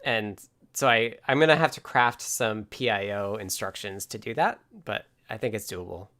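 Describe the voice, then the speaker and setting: A man hosting a livestream, chatting casually and technically, informally thinking out loud as he goes. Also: lowish voice, normal speed. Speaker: livestream host.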